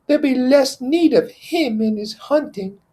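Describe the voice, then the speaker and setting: A man's voice: very high-pitched, lively intonation, a little slow, mellow, voice pushed up. A man voicing an indignant, organizing schoolboy with insistent, ungrammatical speech in a storybook read-aloud. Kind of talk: character voice in novel read-aloud.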